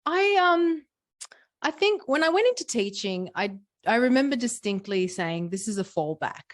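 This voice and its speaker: A woman's voice: fairly high pitch, animated, normal speed. Australian woman telling her story. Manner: warm storytelling with frequent fillers. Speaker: guest. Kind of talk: conversational interview.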